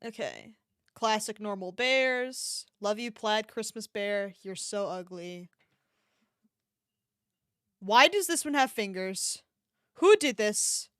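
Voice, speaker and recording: A woman's voice: medium pitch, animated, takes it slowly, pauses often, fairly bright tone, clean tone, no echo, clean studio sound. A woman livestreamer chatting casually and reacting to an online shop with playful, mock-dramatic exclamations.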